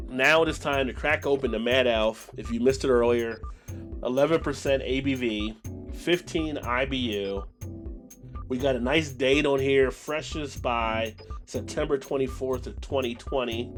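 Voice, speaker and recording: Low-voiced American man chatting on a live stream. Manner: rambling, conversational. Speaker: beer channel live stream host. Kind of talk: casual live stream chat with viewers.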